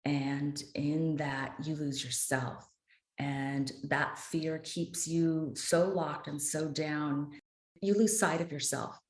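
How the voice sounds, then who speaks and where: A woman's voice: sits low, steady tone, takes it slowly, echoey room. A woman in her fifties, a guest author on a podcast, speaking earnestly and with passion. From United States. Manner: earnest, impassioned, speaks with conviction. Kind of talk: podcast interview.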